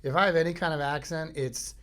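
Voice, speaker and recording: A man's voice: a little high, steady tone, fairly quick, a bit bright. A middle-aged man from Florida hosting his own podcast at home, talking in a rambling, jokey way and breaking into silly bits. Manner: jokey, self-interrupting, breaks into goofy sung bits and impressions. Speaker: podcast host. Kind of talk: rambling comedic monologue. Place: home studio.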